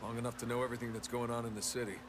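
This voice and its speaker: An actor playing a resolute, dutiful US special forces captain in a military game, with an American accent. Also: male voice, medium pitch, flat delivery, racing, background noise, lightly acted. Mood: brooding, measured. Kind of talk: military drama game dialogue. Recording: reverberant hall, loud music behind.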